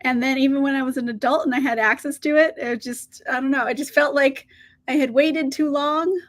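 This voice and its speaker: A woman's voice: high voice, steady tone, brisk. A woman hosting a casual livestream, greeting viewers and answering their comments in an upbeat, chatty way with frequent laughs, her voice a little scratchy today. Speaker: book channel host.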